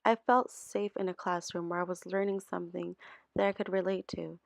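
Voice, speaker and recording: A woman's voice: fairly low pitch, level tone, brisk, creaky, no room sound, studio quality. A woman in her twenties from El Salvador, earnestly reading a scripted narration about teaching. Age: 20s. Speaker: student teacher. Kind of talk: scripted narration for a class video.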